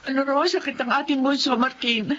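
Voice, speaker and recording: A woman's voice: high voice, brisk, fairly bright tone, dull audio, a little hiss or hum. A woman playing an anxious, devoted wife and mother in an emotional Filipino radio drama. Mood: worried, pleading. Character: Feli, anxious devoted wife and mother telling her life story.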